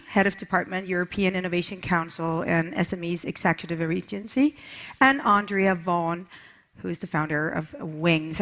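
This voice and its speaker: A woman's voice: on the low side, a bit bright. A woman moderating a conference panel and award ceremony, introducing guests and asking upbeat questions. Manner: upbeat, leading questions, announcing names. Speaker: event moderator. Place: conference stage.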